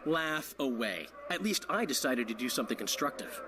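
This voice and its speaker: An actor playing a flamboyant bard who dabbles in spying, theatrical and self-justifying in a video game cutscene. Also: male voice, medium pitch, lively intonation, moderate pace, background noise, close to an everyday voice. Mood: defensive. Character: vain, flamboyant male bard and amateur spy.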